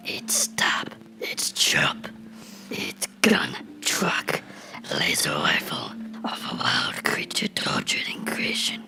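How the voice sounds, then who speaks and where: A man voicing a bitter, menacing cartoon character in a slow, brooding horror ASMR monologue, whispering in a breathy, gravelly voice. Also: very deep voice, heavily acted. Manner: slow, deliberate, brooding storytelling with dramatic pauses. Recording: whispered with music underneath.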